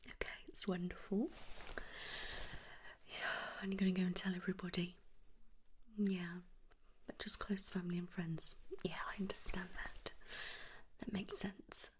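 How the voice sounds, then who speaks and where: A woman's voice: on the low side, level tone, measured pace, pauses often. Whispering woman, soothing nurse roleplay. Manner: soothing, reassuring. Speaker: nurse in a one-sided medical roleplay.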